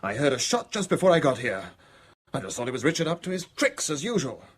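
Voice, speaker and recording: A man's voice: fairly high pitch, sing-song, quick pace, slight background noise. A British man playing an anxious, earnest lover of a murdered man's wife in a radio drama. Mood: agitated.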